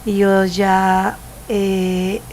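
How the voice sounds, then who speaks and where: A woman in her seventies with a Chilean Spanish accent, a missionary giving a halting, preaching testimony and searching for words. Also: mid-range voice, monotone, darkish tone, slight background noise. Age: 70s. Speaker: missionary guest.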